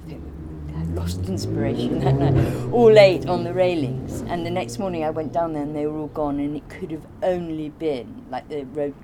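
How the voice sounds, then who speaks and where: Low-voiced woman recalling things casually. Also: animated, normal speed, gravelly, hardly any pauses, background noise. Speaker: artist being interviewed. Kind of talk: casual conversational recollection.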